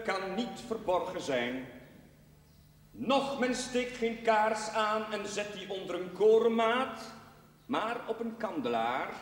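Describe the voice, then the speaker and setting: In a cavernous, long-ringing church, an earnest young chaplain delivering a sermon. His high voice moves slowly and solemnly, drawing out rhetorical phrases with fervour. It is television drama, heard at a distance from the microphone. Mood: solemn, reverent. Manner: measured, declamatory, fervent, drawn-out phrases. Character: earnest young newly arrived chaplain preaching to his parish.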